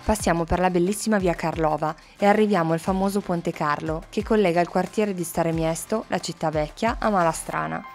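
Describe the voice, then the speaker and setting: A woman's voice: on the low side, a little fast, few pauses, faint music behind. A woman, a travel vlogger reading a scripted travel narration. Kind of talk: scripted travel narration, some casual on-location talk.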